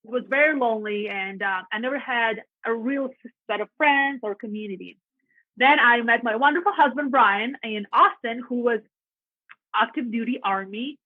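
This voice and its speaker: A woman's voice: a little high, normal speed, dry recording. A woman in her thirties from Latvia with a Russian accent, telling her story in a chatty, earnest way as a podcast guest. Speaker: realtor guest. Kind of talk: casual podcast interview. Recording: tinny phone-like line, dry.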